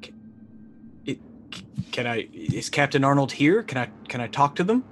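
A man's voice: medium pitch, very animated, normal speed, soft background music, lightly acted. A man playing a confused, exasperated company man in a tabletop game, hesitant, stammering and dryly sarcastic, with an everyday voice. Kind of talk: tabletop game role-play.

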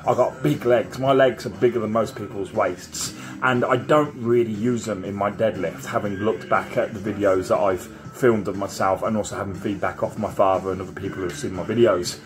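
A man's voice: on the low side, steady tone, moderate pace, few pauses, crowd noise. A man in his thirties talking casually to camera in a small garage home gym, rambling and self-assured, recorded close on a phone.

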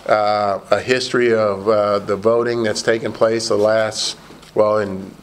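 Hoarse man chairing a meeting, flat. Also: fairly low pitch, a little hiss or hum. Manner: halting, many fillers and restarts. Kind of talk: running a committee meeting. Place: large meeting room indoors.

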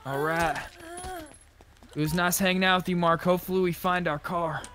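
A man's voice: high voice, fairly even intonation, moderate pace, bright, forceful tone. A man chatting casually as a player in an online roleplay game. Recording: faint background noise, game vehicle sounds.

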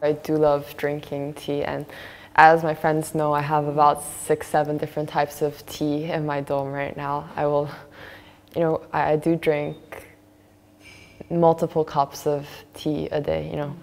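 A woman's voice: low-pitched, monotone, measured pace, vocal fry, darkish tone, in a large hall. A woman in her early twenties from Finland, chatting casually as a student guest. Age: early 20s. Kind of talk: casual panel chat. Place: large indoor cafe-like room. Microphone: lapel.

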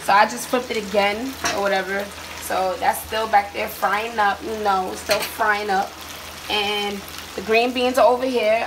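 A young woman filming a cooking video on her phone in her home kitchen, chatting to viewers in a relaxed, slangy way. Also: medium pitch. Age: young adult. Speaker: cooking vlogger. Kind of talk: casual cooking demo. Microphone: handheld phone camera. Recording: sizzling pan noise behind. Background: oil frying and sizzling.

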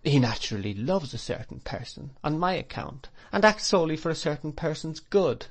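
Guy doing a soft fretful young mum, goes high and pleading, bit teary. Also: sing-song, a little fast. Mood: tearful. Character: fretful, gentle young mother, woman.

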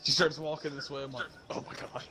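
A young man casually telling stories to friends while gaming, slangy and animated as he acts out the dialogue. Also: a little high, a little fast, over music. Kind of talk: casual storytelling among friends during online game.

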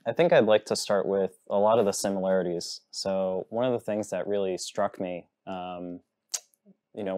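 A man's voice: low-pitched, a little mellow, no echo. A man in his thirties from Massachusetts, speaking earnestly as a new faculty member on a studio panel, with frequent ums. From Massachusetts, USA. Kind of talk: panel discussion. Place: television studio set.

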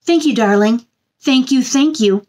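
A woman in her thirties doing a children's read-aloud, with a gentle sing-song delivery and chatty asides addressed to young listeners. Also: high voice, measured pace. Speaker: children's storytime host reading a picture book aloud. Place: small indoor room.

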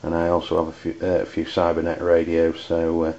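Deep-voiced English man, halting delivery. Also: monotone, darkish tone, dull audio. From United Kingdom. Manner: halting, hesitant phrasing. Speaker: CB radio enthusiast reviewing gear. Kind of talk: hobbyist product demonstration.

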